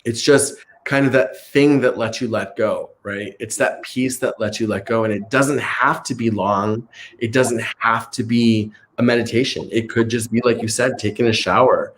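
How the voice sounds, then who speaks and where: A man born in Chicago whose first language was Italian, a guest who teaches meditation, talking in a relaxed way full of "like" fillers. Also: medium pitch, normal speed. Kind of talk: casual conversational interview.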